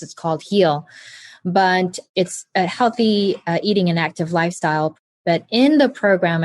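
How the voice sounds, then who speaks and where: Woman, doctor, interview guest, low-pitched, calm, measured, dry close sound. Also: no room sound. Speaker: guest, oncologist surgeon. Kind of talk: conversational interview.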